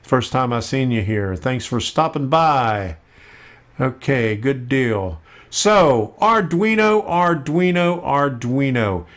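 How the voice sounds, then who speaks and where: A man hosting a casual live stream about model railroading, talking slowly with many fillers as he works at his computer. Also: mid-range voice, sing-song, a bit bright. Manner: slow, unhurried, with many fillers while working on screen.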